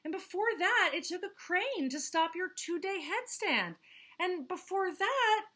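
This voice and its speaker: A woman's voice: very high voice, lively intonation, unhurried, sharp tone, pitched above the performer's own voice, exaggerated voice. A woman voicing a sensible, cautious female camel in a storybook, dry and reasoning. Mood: cautioning.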